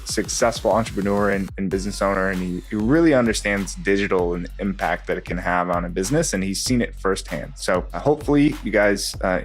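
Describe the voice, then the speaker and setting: A man hosting a marketing podcast, introducing his guest in a halting, casual way with frequent pauses to find words. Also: low voice. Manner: halting, many uh fillers. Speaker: podcast host.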